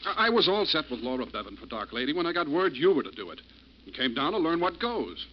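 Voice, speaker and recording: An actor playing a calm man in an old radio drama. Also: on the high side, fast, slight background noise. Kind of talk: 1940s radio acting. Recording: dull old radio broadcast.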